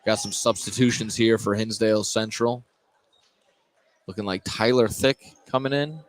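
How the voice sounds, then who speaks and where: A man doing live play-by-play basketball commentary, halting at times and correcting himself mid-call. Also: fairly low pitch, a little hiss or hum. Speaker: play-by-play announcer. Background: gym ambience with bouncing basketballs.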